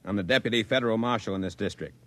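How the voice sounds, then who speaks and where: A man's voice: on the low side, very fast, fairly bright tone, no room sound, natural voice. A man playing a bossy, suspicious deputy marshal, barking and sarcastic, in 1950s radio drama style. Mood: sarcastic, officious.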